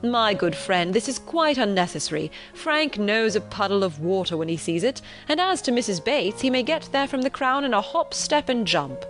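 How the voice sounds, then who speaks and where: A woman voicing a hearty, decisive middle-aged gentleman, a brisk father, in an audiobook. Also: medium pitch, very animated, pitched above the performer's own voice, natural voice. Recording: relaxing music behind the voice.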